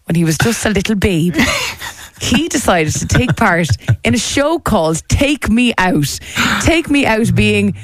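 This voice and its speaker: A woman's voice: mid-range voice, big pitch swings, measured pace, dry recording. A woman hosting a radio show, telling stories in a casual, teasing countdown style. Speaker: radio host.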